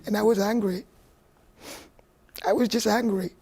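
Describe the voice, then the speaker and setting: A woman's voice: mid-range voice, steady tone, a little slow. A woman from Spain, a native Spanish speaker, answering interview questions emphatically, repeating herself and saying "you know" often. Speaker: interviewed singer.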